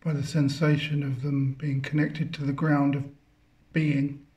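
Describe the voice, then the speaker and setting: Slow, mellow man guiding meditation. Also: a little high, little pitch movement, lo-fi sound. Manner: contemplative, halting phrases with long pauses. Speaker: guided meditation leader. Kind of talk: guided meditation, spiritual talk.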